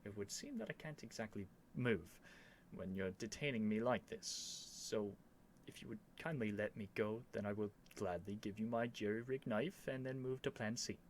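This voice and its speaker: A man's voice: lowish voice, normal speed, hardly any pauses, a little hiss or hum, natural voice. A man playing a stubborn, flustered young prince with haughty, theatrical phrasing in an ASMR roleplay. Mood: exasperated, scheming.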